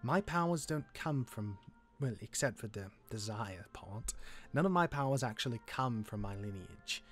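A man playing a gruff, jaded demigod older brother in a Minecraft roleplay, reluctantly caring, with a halting, exasperated delivery. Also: mid-range voice, expressive, a bit bright, faint music behind. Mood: instructive, reluctant.

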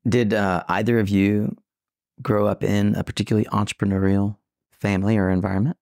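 A man's voice: lowish voice, level tone, brisk, darkish tone, no room sound, clean studio sound. A man hosting a podcast, asking curious, open questions. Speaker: podcast host. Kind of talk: casual podcast interview. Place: office set, indoors. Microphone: table podcast mic.

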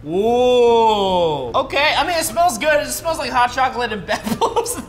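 Playful young man, lively pitch. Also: unhurried. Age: young adult. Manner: playful, giggly, jokey, talks to viewers. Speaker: YouTube host. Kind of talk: goofy challenge vlog. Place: indoor studio set with hot tub.

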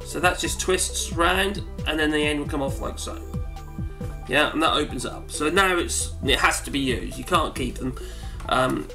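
A man's voice: a little high, moderate pace, over music. A wheezy man in his forties, rambling through a casual how-to demonstration in his home study. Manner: rambling, chatty, self-correcting. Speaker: home video maker. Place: cluttered home study, indoors.

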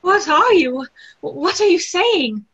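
A woman's voice: very high-pitched, animated, unhurried, a bit bright, full character voice. A young woman playing a dreamy, startled girl full of questioning wonder in a theatrical play reading. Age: young adult. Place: plain room at home, on a video call. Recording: muffled video-call audio.

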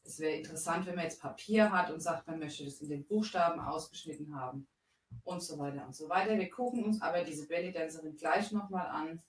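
Woman host, 30s, chatty tutorial delivery, slightly low voice, caught from a distance. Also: a little fast. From Bavaria. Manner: chatty, explains steps while working. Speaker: crafting livestream host. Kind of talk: live software tutorial. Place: home craft room, indoors. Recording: roomy echo, voice a bit far off.

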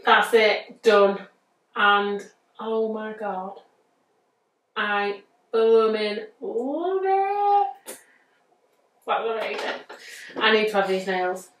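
Unhurried northern English woman, roomy sound. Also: medium pitch, fairly bright tone. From England. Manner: chatty, easygoing, thinking aloud. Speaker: nail artist demonstrating a design. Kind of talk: casual step-by-step tutorial talk. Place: bright indoor studio. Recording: roomy, distant mic.

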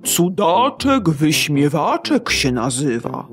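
A woman voicing a kindly old man in a storybook who gently gives a girl advice. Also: low-pitched, big pitch swings, soft background music, heavily acted. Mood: gentle advice. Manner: gentle, unhurried, advising.

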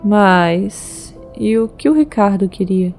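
Woman plays anxious caring young woman, stammering, hesitant, soft music under, audio drama. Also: mid-range voice, lively intonation, slow pace.

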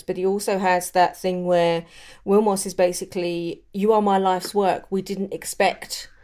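A woman speaks in a slightly low register. She talks casually, as a co-host in an informal discussion about fiction. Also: darkish tone. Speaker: podcast co-host.

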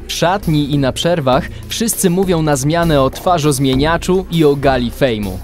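A man's voice: on the high side, normal speed, faint music behind. A man narrating a children's radio play in a scripted storytelling style.

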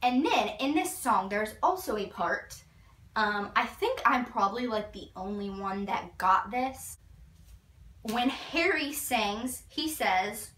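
A teenage girl talks through an album in an eager, gushing way, with "like" in nearly every sentence. Her voice is bright and forward. She sits a little back from the camera in her bedroom, so the sound is roomy. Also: fairly high pitch, expressive, takes it slowly, a little hiss or hum. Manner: gushing, filler-heavy with frequent "like". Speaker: vlogger. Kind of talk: casual album review. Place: bedroom, indoors. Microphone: fixed camera a few feet away. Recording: roomy, slightly distant.

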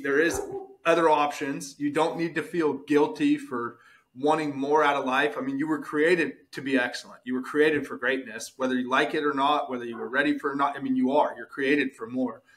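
A man's voice: medium pitch, steady tone, normal speed, fairly bright tone, low-quality recording. A male podcast host with an ordinary mid-range voice. He talks in a casual, slangy way, earnest and motivational, and leans on key phrases by repeating them. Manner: earnest, emphatic, repeats points, slangy with "bro" and "dude".

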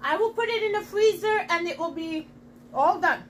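A middle-aged woman hosting a live cooking demonstration in her home kitchen, talking to viewers in a chatty, excitable way. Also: very high voice, moderate pace, distant mic, a little hiss or hum. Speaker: host selling kitchenware. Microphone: camera across the counter.

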